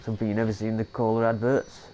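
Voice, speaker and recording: A man's voice: lowish voice, little pitch movement, rapid-fire, mellow, a little hiss or hum. A man, stuffy from a cold, commentating casually on a horror game and stumbling over long words as he reads notes aloud. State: congested with a cold. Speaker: gameplay commentator. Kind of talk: casual let's play commentary.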